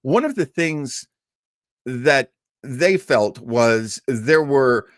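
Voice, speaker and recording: Male host for an old-time radio stream: chatty, digressive, playful, slow pace with plenty of melodic swing. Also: mid-range voice, expressive, no room sound, clean studio sound. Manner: rambling, jokey, digressive. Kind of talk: casual livestream chat and introduction.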